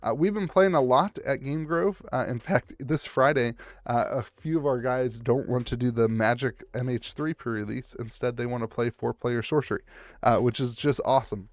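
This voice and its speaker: A hoarse young man who is losing his voice, hosting a casual, chatty card pack opening and talking straight to the viewer. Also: medium pitch, normal speed, no room sound. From United States. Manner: chatty, calls out foils and talks to the viewer. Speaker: channel host opening card packs.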